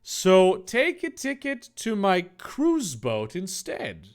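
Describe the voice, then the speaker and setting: A friendly fish-man from a storybook talks in a high, slow, drawn-out voice, as a man puts him on. His pitch rises and falls widely. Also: wildly swooping pitch, heavily acted. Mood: eager. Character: cheerful generous fish-man, eager.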